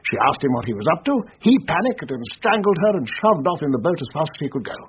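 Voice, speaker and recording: An actor playing a brisk, confident upper-class colonel who is easily exasperated, in a radio drama. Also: male voice, a little high, very animated. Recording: muffled radio drama with faint noise.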